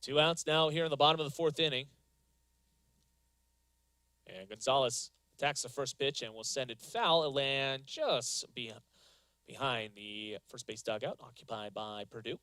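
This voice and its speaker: A man calling live softball play-by-play as a sports announcer, moving between measured stat recaps and calls of the action. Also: medium pitch, smooth, no echo. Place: live broadcast from a softball stadium.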